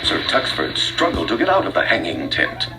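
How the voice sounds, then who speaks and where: A man's voice: lowish voice, wildly swooping pitch, normal speed. A man reading a scripted read-along children's story as narrator, with dramatic delivery. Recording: music under the voice. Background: music.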